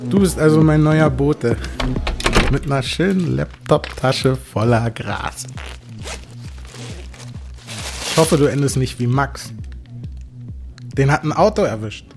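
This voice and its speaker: An actor playing a streetwise, cynical drug dealer in a German radio play, with casual, slangy menace. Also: male voice, on the high side, a little mellow, close to an everyday voice. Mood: sly, sardonic.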